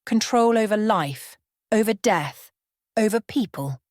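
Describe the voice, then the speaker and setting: A woman voicing a serene young female vampire who speaks softly and calmly with a hidden edge. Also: medium pitch, unhurried, no room sound, clean studio sound, voice pushed up, natural voice. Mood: stern. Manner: soft, calm, almost playful.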